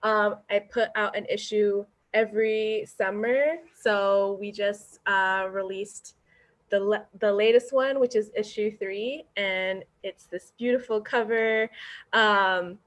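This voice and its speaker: Unhurried woman presenting her zine. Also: medium pitch, steady tone. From born in Indonesia, raised in upstate New York. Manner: soft-spoken, many ums and uhs. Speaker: zine founder and editor.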